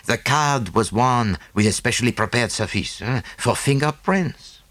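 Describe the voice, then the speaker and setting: A man's voice: mid-range voice, animated, unhurried, fairly bright tone, no room sound. A man voicing a small, self-satisfied Belgian detective with a French-speaking Belgian accent and a didactic, theatrical manner in an audiobook. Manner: didactic, punctuated with "eh?" and French phrases.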